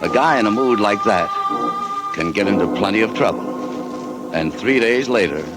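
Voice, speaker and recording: A man's voice: fairly low pitch, expressive, normal speed. An actor playing a restless American salesman who narrates his own story, weary and sardonic. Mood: bitter. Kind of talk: 1940s radio acting. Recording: old radio broadcast with music bed.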